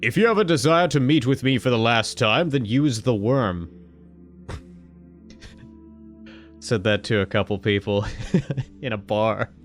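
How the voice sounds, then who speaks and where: A man at a middle pitch hosts a casual let's-play, reading game text aloud between irreverent jokes. He swears offhandedly and now and then jumps into loud, high-pitched outbursts, with soft music playing behind him. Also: slow pace, pauses often. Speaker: gaming video host.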